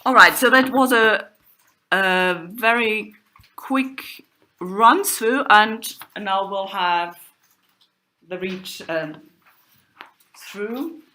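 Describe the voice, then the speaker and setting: A woman giving an academic lecture in a halting way, pausing and hesitating often. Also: medium pitch, pushed and bright, slight background noise. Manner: halting delivery with long hesitations. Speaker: lecturer, university professor. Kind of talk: spoken lecture on old drama texts. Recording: echoey room.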